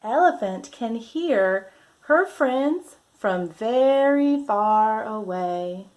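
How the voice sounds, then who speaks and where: A woman with a slightly high voice reads to young children at a very unhurried pace. She speaks as a mindfulness teacher would, deliberate and gently instructive, guiding small listeners through the story. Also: lively intonation. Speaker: children's yoga and mindfulness teacher reading a picture book. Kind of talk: children's read-aloud with guided breathing. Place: at home.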